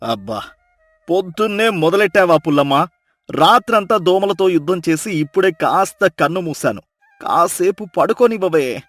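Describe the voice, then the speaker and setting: A man's voice: high-pitched, expressive, normal speed, fairly bright tone, no room sound, faint music behind. A man playing a meek, weary, poor woodcutter in a folk tale, pleading and grumbling. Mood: groggy, resigned.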